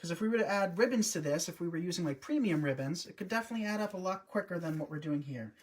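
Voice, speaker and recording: A man's voice: high voice, expressive, very fast. A man hosting a live wreath-making stream, chatty and upbeat, often talking to his viewers as he works. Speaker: host, wreath designer. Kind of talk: live craft demonstration.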